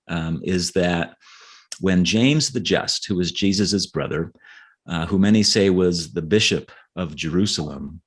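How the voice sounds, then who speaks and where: Low-voiced man, earnest and explanatory. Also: slightly rough. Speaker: author being interviewed. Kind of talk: conversational podcast interview.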